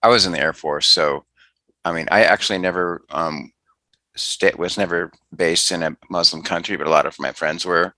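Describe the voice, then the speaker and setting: A man's voice: low-pitched, steady tone, moderate pace, sharp tone, no echo. A man hosting a casual interview, asking rambling, chatty questions with many restarts.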